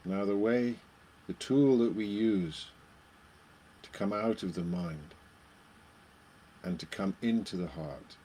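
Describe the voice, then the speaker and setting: A man leading a guided meditation, speaking in short phrases with long pauses between them. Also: low-pitched, dark tone, slight background noise.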